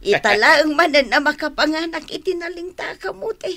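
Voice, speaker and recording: A woman playing a cheerful, reassuring younger relative in a radio drama, chatty and expressive, with an Ilocano accent. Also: high voice, a little fast, exaggerated voice.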